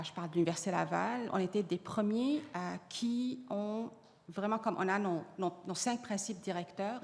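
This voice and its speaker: A woman's voice: mid-range voice, gravelly. Earnest woman panelist, Arabic native speaker. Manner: earnest, many restarts and fillers. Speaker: panelist on AI in education. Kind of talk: panel discussion answering audience. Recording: long hall reverb.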